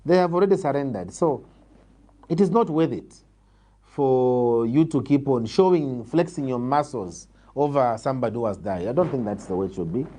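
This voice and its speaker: A man with a Zambian accent answering political interview questions in a measured, formal, emphatic way. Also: medium pitch, lively intonation, warm tone. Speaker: political interviewee, party leader.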